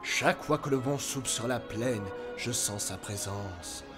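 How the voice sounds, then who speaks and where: A man playing a tender, solemn fatherly ruler in an amateur animated film dub, speaking in a measured way. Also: medium pitch, music in the background, close to an everyday voice. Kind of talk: fan-dubbed animated film acting.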